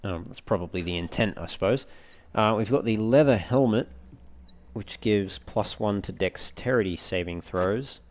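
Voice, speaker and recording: A man giving low-key, casual gameplay commentary, musing aloud as he plays. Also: normal speed, a little mellow, a little hiss or hum. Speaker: let's play host.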